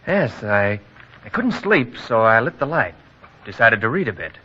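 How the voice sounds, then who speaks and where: Bright, husky, folksy village man. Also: mid-range voice, very animated, moderate pace, exaggerated voice. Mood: puzzled. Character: mild, puzzled village man, chatty and folksy. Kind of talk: 1940s radio drama acting. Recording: muffled vintage radio.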